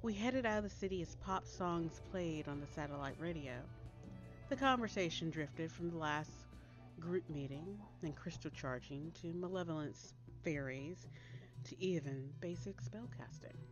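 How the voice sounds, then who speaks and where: Low-voiced, animated American woman reading a story. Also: moderate pace. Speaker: author reading her own short story. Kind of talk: expressive audiobook story narration. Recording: dull lo-fi audio under loud music. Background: music.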